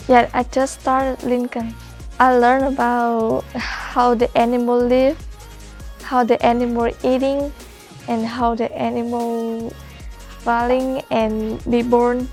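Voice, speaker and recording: Female high schooler, Vietnamese accent, high and monotone, halting simple English, soft music behind. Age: teens. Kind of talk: simple interview answers.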